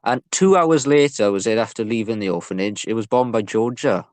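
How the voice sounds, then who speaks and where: A man's voice: lowish voice, fairly quick, dry recording. Welsh man hosting, close dry sound. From Wales. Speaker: podcast host. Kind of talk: casual interview.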